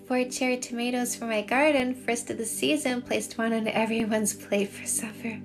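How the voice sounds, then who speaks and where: Middle-aged female livestream coach, Canadian accent, fairly high voice with marked vocal fry, conversational, music behind. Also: steady tone, normal speed. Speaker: dating coach and livestream host. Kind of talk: casual livestream chat, reading and answering viewer comments. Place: small home room with shelves, indoors. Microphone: phone at arm's length.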